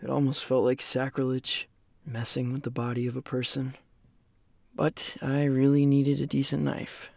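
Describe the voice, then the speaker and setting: Gravelly young male survivor. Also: mid-range voice, completely flat delivery, normal speed, no room sound. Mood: somber, reflective. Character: weary young male survivor of a post-apocalyptic world, reflective. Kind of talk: audio drama narration and acting.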